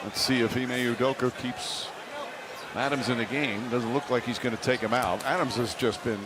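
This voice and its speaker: Male play-by-play voice, mid-pitched, clipped and factual, with heavy arena noise behind. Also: steady tone, normal speed. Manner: short clipped factual phrases. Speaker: basketball play-by-play announcer. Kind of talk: live sports commentary.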